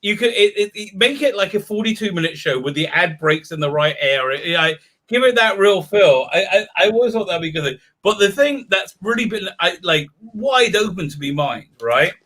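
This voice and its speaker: Bright-voiced, excitable man host. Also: high voice, a little fast. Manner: excitable, stammering restarts. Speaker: show host. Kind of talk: casual video-call chat.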